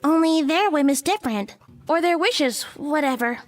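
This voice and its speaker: A woman playing a bossy young witch in a visual novel, giving brisk instructions. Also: sits high, expressive, far from the mic, faint music behind. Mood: bossy, serious. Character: bossy young female witch casting guarding spells. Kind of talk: visual novel character dialogue.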